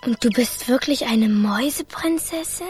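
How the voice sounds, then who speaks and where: A woman's voice: fairly high pitch, moderate pace, darkish tone, breathy edge, soft background music. A woman playing a feisty, sulky six-year-old girl in a children's radio play, pert, grumbling and sarcastic. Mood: pert, sceptical.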